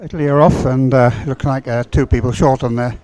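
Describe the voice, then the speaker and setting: A man giving live sports race commentary in a measured, hesitant, observational manner. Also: medium pitch, fairly even intonation, darkish tone, no room sound.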